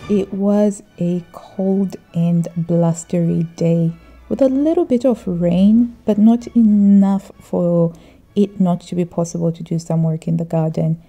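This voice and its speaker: Woman, middle-aged, very warm dark tone, creaky, measured reflective vlog narration. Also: fairly low pitch, steady tone, faint music behind. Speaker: vlogger.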